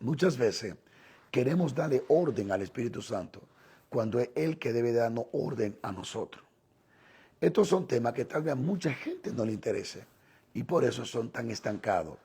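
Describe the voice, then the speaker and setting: A man preaches with a Dominican Spanish accent in a heavily rasping, mellow voice. He teaches in short, deliberate phrases, pausing and repeating for emphasis, with a gravelly fry running through his delivery. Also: mid-range voice, lively intonation. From Dominican Republic. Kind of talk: sermon, teaching on scripture.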